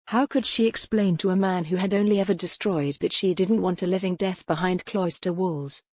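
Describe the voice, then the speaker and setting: A woman's voice: fairly low pitch, steady tone, normal speed, darkish tone, no room sound, studio quality. A woman narrating a scripted historical romance audiobook.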